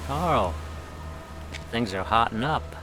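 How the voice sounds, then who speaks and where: A man's voice: mid-range voice, expressive, takes it slowly. An actor playing a friendly male wartime intelligence ally in a war game, chummy and informal. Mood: urgent. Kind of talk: cinematic game voice acting. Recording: clear ambient noise behind.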